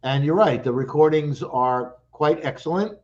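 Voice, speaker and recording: Halting man on dull lo-fi audio. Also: fairly high pitch. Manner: hesitant, halting with fillers. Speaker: panelist, audio distributor. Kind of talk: casual roundtable chat.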